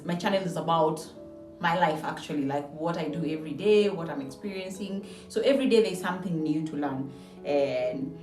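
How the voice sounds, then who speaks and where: A woman's voice: fairly low pitch, darkish tone. Woman in her forties, echoey distant recording. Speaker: channel host. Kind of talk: casual vlog introduction. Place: indoors in a house. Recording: roomy echo, distant mic, music behind. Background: background music.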